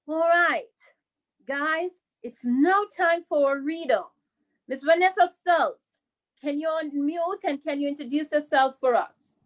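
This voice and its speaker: A woman's voice: high-pitched, unhurried, rough recording. A woman hosting a live online children's story time and directing participants on a call.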